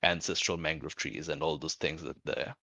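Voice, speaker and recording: A man talking casually and informally about a tabletop roleplaying game, feeling out his thoughts haltingly as a player. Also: rumbling low, steady tone, gravelly, pushed and bright, dry recording. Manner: halting, thinking aloud, informal with swearing. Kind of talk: casual out-of-character game discussion.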